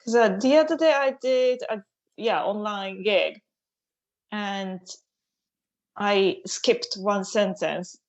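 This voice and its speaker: A woman whose first language is Japanese, chatting on a podcast as a comedian guest, halting and laughing often. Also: mid-range voice, lo-fi sound. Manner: hesitant, halting phrasing with frequent laughs. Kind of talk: casual podcast chat.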